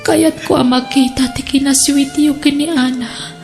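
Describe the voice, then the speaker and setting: A woman's voice: high-pitched, steady tone, moderate pace, darkish tone, faint music behind. A woman with an Ilocano Filipino accent playing a frail, selfless dying sweetheart in an audio drama, tender and pleading. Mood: tearful pleading.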